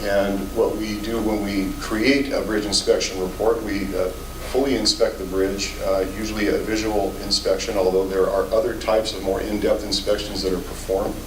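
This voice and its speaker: A hoarse, fairly low-voiced man works through a technical talk at a public meeting, pausing on filler sounds as he goes. He is caught from a distance in an echoey hall with a faint hiss underneath. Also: level tone. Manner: measured, technical, with frequent "uh" fillers. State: hoarse from a cold. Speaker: state bridge inspection office chief.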